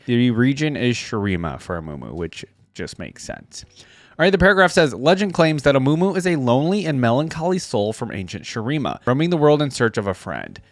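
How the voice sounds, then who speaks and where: A man's voice: mid-range voice, dry recording. A man with a mid-range voice hosts a reaction video. He speaks informally to his viewers, moving between casual commentary and reading text aloud. The sound is dry, with hardly any room to it. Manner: informal, chatty, addresses viewers directly.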